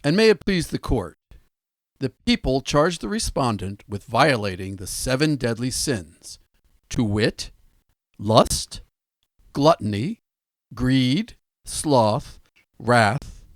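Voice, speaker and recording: An animated, earnest prosecutor. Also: male voice, mid-range voice, slow, pauses often, no room sound. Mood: formal. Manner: formal, deferential courtroom address. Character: earnest, indignant prosecuting attorney. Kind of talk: satirical radio drama acting.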